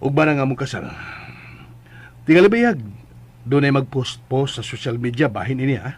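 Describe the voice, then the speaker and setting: An actor playing a guarded, stern boss in a radio drama, with a Visayan Philippine accent. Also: male voice, mid-range voice, expressive, normal speed, slight background noise, natural voice. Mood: guarded, warning.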